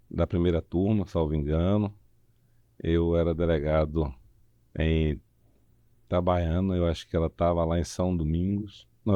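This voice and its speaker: Man, podcast co-host, dark relaxed tone, close dry sound, halting conversational phrasing. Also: sits low, no echo. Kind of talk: unscripted interview chat.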